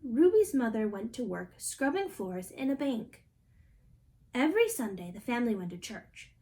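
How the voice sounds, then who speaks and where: A woman's voice: a little high, darkish tone. A young adult woman reading a picture book aloud as a storybook reader. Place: small indoor room at home.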